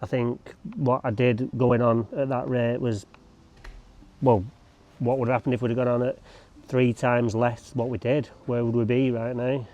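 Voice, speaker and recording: A man's voice: medium pitch, flat delivery, unhurried, darkish tone, highs cut off. A man chatting casually to camera while working outdoors, rambling with joking asides. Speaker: lawn care vlogger. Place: outdoors on a lawn.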